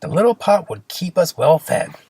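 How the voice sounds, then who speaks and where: A storyteller plays a poor, trusting mother in a children's fairy tale. She sounds plain and earnest, husky, with lively swings of pitch. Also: moderate pace, heavily acted. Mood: happy, relieved.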